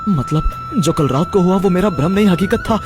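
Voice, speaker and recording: A man's voice: high-pitched, a little fast, lightly acted. A man playing a poor, earnest village potter in a Hindi cartoon story, speaking in a theatrical, emphatic way. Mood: astonished. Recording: background music throughout.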